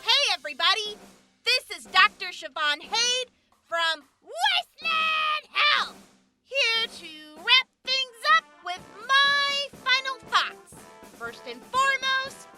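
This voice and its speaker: A woman voicing a cheerful, brash wasteland doctor who speaks loudly and exuberantly, with laughter and a sing-song lilt. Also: very high-pitched, pitched above the performer's own voice, full character voice. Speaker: radio show doctor. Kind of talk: in-character audio drama farewell.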